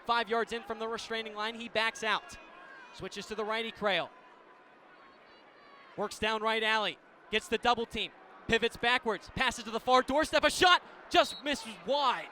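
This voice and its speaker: High-voiced American man calling live sports. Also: fairly even intonation, normal speed, bright, forceful tone, slight background noise. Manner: clipped, descriptive phrasing. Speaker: play-by-play radio commentator. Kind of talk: live lacrosse radio commentary.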